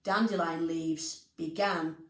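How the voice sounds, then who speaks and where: A woman presenting and reading stories to young children, speaking expressively and deliberately, addressing them directly. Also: low-pitched, fairly even intonation, very noisy. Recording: roomy echo, music underneath.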